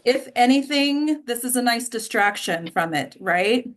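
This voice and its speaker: A woman's voice: on the high side, animated, unhurried. An American woman from Texas, chatty and effusive, hosting an online group and welcoming people in casual talk. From Texas, United States. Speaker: host of an online actors' table read. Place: online video call. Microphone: video call.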